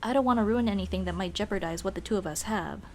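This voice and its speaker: A woman playing a young tomboy childhood friend in an ASMR roleplay, speaking in casual boyish slang with hesitant pauses. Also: mid-range voice, close to an everyday voice. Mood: flustered, hesitant. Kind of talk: ASMR roleplay, intimate one-sided dialogue.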